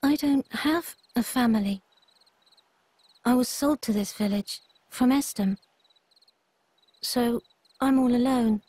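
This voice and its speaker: A woman's voice: a little high, takes it slowly, pauses often, darkish tone, breathy edge, no echo. A woman voicing a small orphan boy, blunt and mistrustful, in a video game. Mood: flat, sad. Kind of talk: video game cutscene acting.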